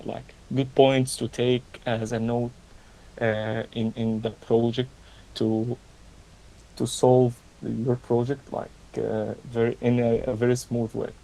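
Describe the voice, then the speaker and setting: A young man from Egypt presenting an online coding tutorial in a casual, halting way, with many fillers and restarts. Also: medium pitch, creaky, a little mellow, rough recording. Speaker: learning ambassador, session presenter.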